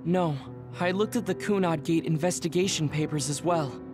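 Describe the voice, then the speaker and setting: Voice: mid-range voice, moderate pace, lightly acted. An actor playing a frank but caring young analyst in a video game, explaining things carefully. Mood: composed. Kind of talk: video game cutscene acting. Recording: clear music behind the voice.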